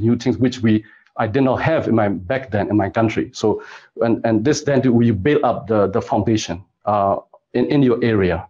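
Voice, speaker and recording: A middle-aged man speaks in a somewhat low voice. He answers interview questions gently, pausing often to search for his words. The audio is dull and lo-fi, like a remote recording. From Burma. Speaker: guest expert.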